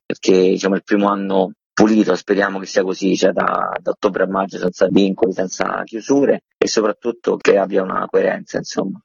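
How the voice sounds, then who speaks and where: A man's voice: lowish voice, gravelly, a little mellow, breathy edge, dry recording. A man speaking earnestly as a guest in an informal radio interview. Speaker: interview guest, theatre co-artistic director. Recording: muffled, dull lo-fi line.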